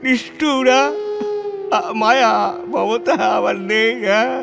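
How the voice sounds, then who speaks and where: A man playing an elderly, lonely and compassionate Bengali scholar in an audio play, speaking in an emotive, halting, theatrical way. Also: on the high side, music in the background, lightly acted. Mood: anguished, tearful. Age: older. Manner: slow, emotive, halting with pauses.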